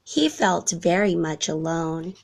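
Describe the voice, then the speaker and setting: A woman reading a children's storybook aloud in an expressive narrating style. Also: on the low side, slow, vocal fry. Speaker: storybook reader.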